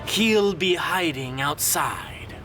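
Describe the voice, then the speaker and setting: A man's voice: on the high side, expressive, slow pace, over music. A man voicing a fretful, plaintive cat with a scornful streak for a storybook. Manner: wailing, scoffing.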